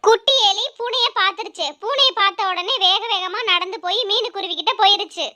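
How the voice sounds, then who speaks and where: A woman's voice: extremely high pitch, fairly quick, pushed and bright. A woman narrating a scripted children's animal story.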